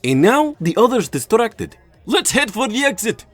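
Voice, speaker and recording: A man's voice: sits high, sing-song, moderate pace, a bit bright, faint music behind, heavily acted. A man playing a sly, fun-loving Soviet scientist in a comic dub. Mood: excited.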